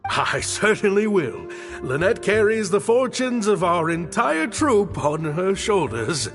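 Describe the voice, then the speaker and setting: An actor playing a theatrical, high-strung troupe director in a video game, with grandiose, emphatic delivery. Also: male voice, very animated, slow, fairly bright tone, full character voice. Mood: courteous. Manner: grandiose, emphatic, florid phrasing. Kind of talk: dramatic game voice acting. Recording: reverberant studio voice with music. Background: background music.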